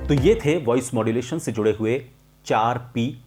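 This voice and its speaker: A middle-aged man explaining a lesson to viewers as a voice coach, in a deliberate, emphatic manner with clear pauses. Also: mid-range voice, a little mellow, faint music behind. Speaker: voice-over artist and voice coach hosting a tutorial.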